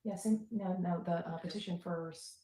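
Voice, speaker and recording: A low-pitched woman hosts a casual livestream in a roomy, echoing space. She rambles to her followers and repeats phrases for emphasis. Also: steady tone, moderate pace, warm tone. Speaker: livestream host and advocate.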